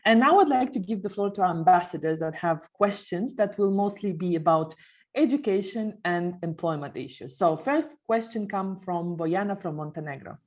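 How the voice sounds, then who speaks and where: A woman's voice: on the low side, expressive, moderate pace, darkish tone. A woman hosting a podcast, introducing and moderating in a presenter's scripted style. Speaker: podcast host. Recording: music behind the voice.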